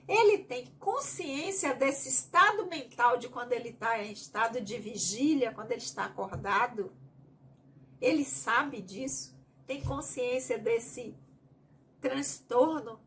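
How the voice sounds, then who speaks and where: A woman's voice: high-pitched, slight background noise. A woman with a Bahian Brazilian accent, leading a spiritist study group; she reads each question aloud and then explains it at length in her own words. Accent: Bahian Brazilian Portuguese.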